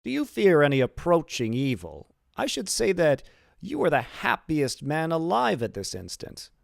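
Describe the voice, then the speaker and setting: Male reader playing timid, envious man, probing questions, pitched above his narration, storybook read. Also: wildly swooping pitch, moderate pace, vocal fry, no echo, clean studio sound, heavily acted.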